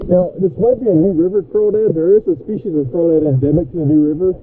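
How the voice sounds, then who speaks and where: A man's voice: high voice, fast, narrow, tinny audio. A young man with a slightly raised voice narrates his catch by a mountain creek, chatty and full of enthusiasm. He is recorded on a body-worn action camera, with faint outdoor noise and running water behind him. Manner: enthusiastic, chatty, filler-laden. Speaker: fishing vlogger.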